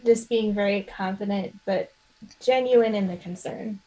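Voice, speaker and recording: A woman's voice: medium pitch, fairly even intonation, measured pace, dark tone, slight background noise, poor audio. A woman playing a tabletop game, chatting and improvising casually in a hesitant, apologetic way. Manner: hesitant, apologetic, many trailing restarts. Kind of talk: casual chat and improvised role-play.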